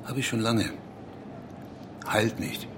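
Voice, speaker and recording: Casting: brooding East German male writer, terse and awkward, lowish voice, very slow delivery, for literary radio drama. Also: animated, background noise. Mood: laconic. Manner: halting, clipped phrases. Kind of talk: literary audio drama acting.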